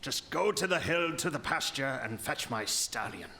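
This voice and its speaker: An actor playing a huge, menacing troll with a threatening, mock-friendly manner in an audio folktale. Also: male voice, medium pitch, lively intonation, moderate pace, pushed and bright, a little hiss or hum. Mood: gruff, suspicious. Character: huge ugly menacing male troll master. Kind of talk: audio drama folktale acting.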